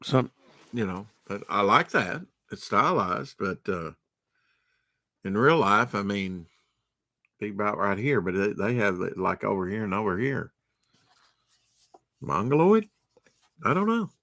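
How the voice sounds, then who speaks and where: A man's voice: lowish voice, expressive, measured pace, long pauses, bright, forceful tone, dry recording, clean studio sound. A man hosting a late-night storytime, reading a storybook aloud and breaking off into rambling, sarcastic asides. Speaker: storytime host.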